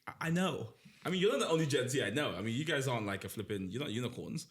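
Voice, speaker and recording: A man hosting a casual podcast, teasing his guest, laughing often and dropping into quiet asides. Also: medium pitch, expressive, quick pace. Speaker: podcast host answering questions.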